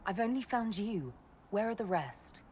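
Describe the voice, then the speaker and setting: A composed, diplomatic heroine played by a woman talks calmly and directly in a medium-pitched voice. It is earnest fantasy game dialogue with faint noise behind it. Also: measured pace, close to an everyday voice. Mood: calm, firm. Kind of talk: dramatic video game acting.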